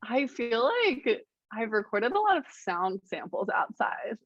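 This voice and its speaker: A soft-spoken woman, a musician guest in a casual interview, talking hesitantly with many fillers and restarts. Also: fairly high pitch, very animated, measured pace, no room sound, dull audio. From Richmond, Virginia, United States.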